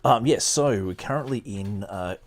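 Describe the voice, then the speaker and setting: A man's voice: on the low side, normal speed. A middle-aged man hosting a podcast, chatting casually and reading aloud from a book. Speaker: podcast host.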